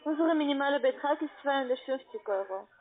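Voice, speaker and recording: A woman with a foreign accent and non-native phrasing, giving an insistent sales pitch as a call centre agent. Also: animated, quick pace. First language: not German. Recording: band-limited phone call audio.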